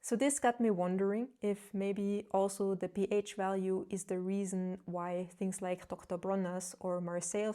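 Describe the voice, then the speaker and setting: A woman with a German accent talks through her own skincare experiments as a travel vlogger. Her tone is earnest and explanatory, with a touch of self-deprecating humor. Her voice sits at an ordinary middle pitch for a woman. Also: mellow. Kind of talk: casual explanatory vlog.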